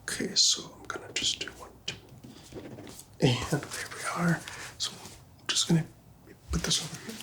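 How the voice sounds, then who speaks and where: A man whispering gently and reassuringly in a breathy voice, playing a doctor in an ASMR medical roleplay. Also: a little high, animated, normal speed, pauses often. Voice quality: breathy, mostly unvoiced whisper.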